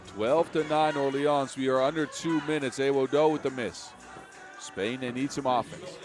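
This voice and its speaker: A man with a Dutch accent giving fast live basketball play-by-play, his energy rising with each play. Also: medium pitch. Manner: energetic, rising with the action, self-mocking asides. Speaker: play-by-play commentator. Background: music.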